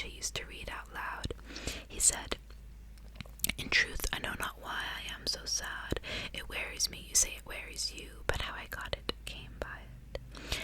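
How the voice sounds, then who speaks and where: Woman whispering softly in ASMR style. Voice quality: breathy unvoiced whisper. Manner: soft, hushed, intimate delivery. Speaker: ASMR creator reading a novel aloud. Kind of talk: whispered ASMR audiobook reading with casual asides.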